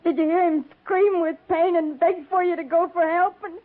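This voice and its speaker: A woman's voice: very high-pitched, steady tone, moderate pace, dry recording. A grieving young pioneer widow, bitter and worn down but stubborn. She sounds like a 1950s Western radio drama, heard through a thin, narrow old broadcast band. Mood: anguished.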